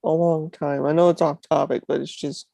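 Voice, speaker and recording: A man in his twenties talks fast and eagerly, his voice gravelly with fry. The sound is dry and close, with no room around it. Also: a little high, level tone, warm tone, no room sound. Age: 20s. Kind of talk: casual group chat.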